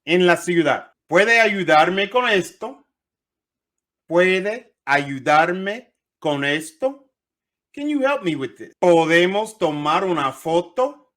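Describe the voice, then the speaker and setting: Animated man teaching phrases slowly. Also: fairly high pitch. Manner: animated, repeats phrases slowly, jumps between Spanish and English. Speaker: Spanish teacher. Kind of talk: lively language lesson, teaching phrases.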